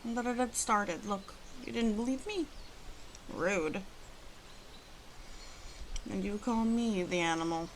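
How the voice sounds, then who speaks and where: A woman playing a proud, guarded but protective female werewolf in an ASMR roleplay, speaking haltingly and hushed, often dropping into whispers. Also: medium pitch, a little hiss or hum, lightly acted, rough recording. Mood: bossy, teasing.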